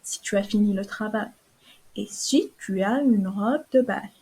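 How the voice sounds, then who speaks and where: A woman's voice: mid-range voice, a little slow, warm tone, slightly rough, poor audio. A woman doing a cold, commanding stepmother. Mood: cool. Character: stern, cold stepmother giving orders.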